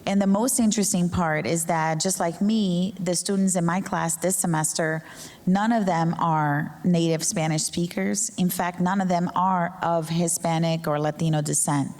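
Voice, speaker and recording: A woman's voice: on the low side, fairly even intonation, moderate pace, darkish tone. A middle-aged woman from Brazil, a native Portuguese speaker, talking informally to an audience as a professor on a panel, searching for words at times. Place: large hall with an audience. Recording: echoey hall with long reverb.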